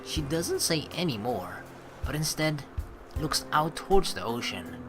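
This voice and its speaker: A young man hosting a let's play, reading the story aloud and adding expressive, rambling commentary. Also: on the high side, unhurried, music in the background.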